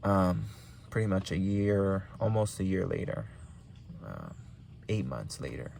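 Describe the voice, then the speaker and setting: A man's voice: deep voice, expressive, a little slow, gravelly, darkish tone, a little hiss or hum. A young man from Hawaii with a Hawaiian accent, giving casual, rambling business advice with lots of ums and repeats.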